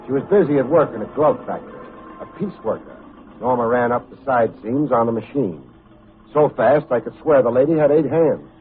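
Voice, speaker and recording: An actor playing a wry, hard-boiled private detective in an old-time radio drama, speaking in a clipped, sardonic way. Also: male voice, medium pitch, expressive, fairly quick, close to an everyday voice. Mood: wry narrating. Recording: muffled, phone-like old radio recording with faint music.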